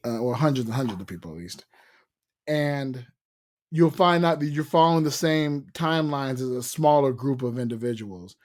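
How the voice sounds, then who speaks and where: A man's voice: medium pitch, a little mellow. A man hosting a casual podcast interview, rambling and repeating words as he searches for what to say. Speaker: podcast host.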